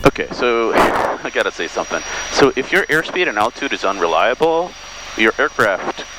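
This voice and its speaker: A man's voice: mid-range voice, sing-song, normal speed. A man piloting a small plane, giving casual, conversational commentary through an aviation headset. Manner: informal, conversational. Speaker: pilot and video maker. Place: small airplane cockpit in flight. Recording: noisy small aircraft cockpit.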